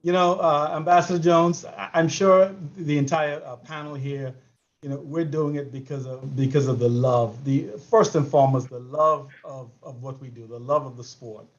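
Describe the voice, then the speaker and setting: A man in his sixties from the Bahamas with a Bahamian accent, telling a story as a panel guest, animated and often repeating his words. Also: mid-range voice, moderate pace. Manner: animated, repeats words, laughs between phrases. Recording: muffled lo-fi audio.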